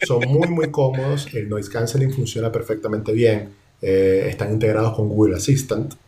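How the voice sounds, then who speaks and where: A man's voice: on the low side, steady tone, normal speed, mellow, slight background noise. A man with a Latin American Spanish accent, telling things casually in conversation. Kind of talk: casual anecdote and chat. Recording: distant from the mic.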